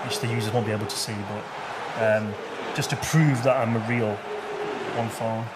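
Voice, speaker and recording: A man's voice: medium pitch, fairly even intonation, normal speed, heavy background noise. A man with a Manchester accent, chatting casually and a bit hesitantly as a game developer showing off a football game. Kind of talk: casual chat during gameplay. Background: music behind the voices.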